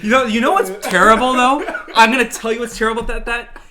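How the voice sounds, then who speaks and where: A man's voice: extremely high pitch, steady tone, fast, a crowd in the background. A young man chatting casually as a podcast co-host, with crude, slangy, joking banter.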